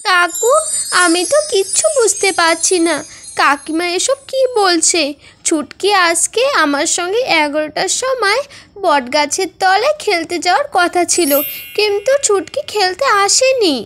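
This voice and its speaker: Brief: bold little cartoon ghost boy acted out, tiny piping voice, childlike and earnest, loud music underneath. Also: extremely high pitch, expressive, unhurried, heavily acted. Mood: puzzled, innocent. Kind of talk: animated moral-story dialogue.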